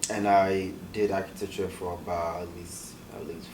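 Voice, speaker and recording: Deep-voiced young man from Ghana. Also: little pitch movement, normal speed. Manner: soft-spoken, hesitant, many ums. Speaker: guest artist. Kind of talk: interview answers. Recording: echoey room, distant mic, hiss.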